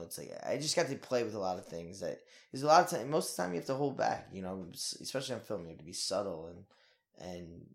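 A young man, an actor, talking in a casual interview in an energetic, rambling way with lots of fillers and swearing. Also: deep voice.

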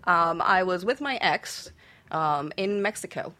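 A woman's voice: fairly low pitch, animated, a little slow, pushed and bright. A woman on a radio panel, casually telling explicit stories in a candid, unabashed and sweary way.

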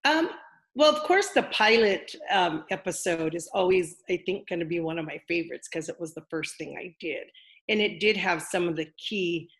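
An older woman with a deep, low-set voice and a gravelly edge. She talks in a loose, rambling way, full of fillers and restarts. She sounds like a guest easing into a casual interview. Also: animated, normal speed. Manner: chatty, rambling, many fillers. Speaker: former wrestler, interview guest.

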